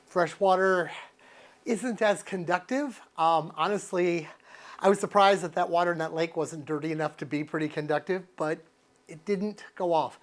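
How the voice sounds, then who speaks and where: High-voiced man thinking aloud on camera. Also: moderate pace. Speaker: product reviewer, vlogger. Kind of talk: casual hands-on gadget review to camera. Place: living room and outdoors in a backyard by a canal. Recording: clear background noise, ambient sound.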